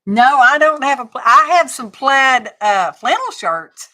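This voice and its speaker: Middle-aged woman, unhurried chatty craft host, bright edge to the voice. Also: high voice, expressive. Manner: chatty, rambling, talks to chat viewers. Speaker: craft live-stream host. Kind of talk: casual chat while crafting on a live stream.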